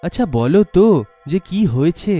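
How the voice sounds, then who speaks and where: A man's voice: sits high, expressive, moderate pace, warm tone, soft background music. A man playing a caring, patient son and husband in a story, mild and soothing.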